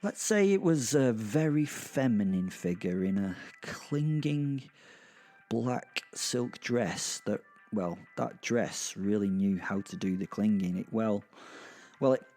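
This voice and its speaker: Low, gravelly British male narrator. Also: animated, warm tone, faint music behind. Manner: wry, conversational, halting asides. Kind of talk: first-person dark comic audio drama narration.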